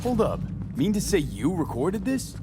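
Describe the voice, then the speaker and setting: A man's voice: sits high, wildly swooping pitch, moderate pace, loud music behind the voice. An actor playing a streetwise, wary mercenary man in a video game, speaking in clipped, direct slang. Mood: wary, probing. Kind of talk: video game dialogue acting.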